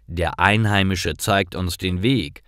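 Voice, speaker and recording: A man narrating scripted stories for language learners in simple, clear sentences. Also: low voice, takes it slowly, fairly bright tone, dry recording, studio quality.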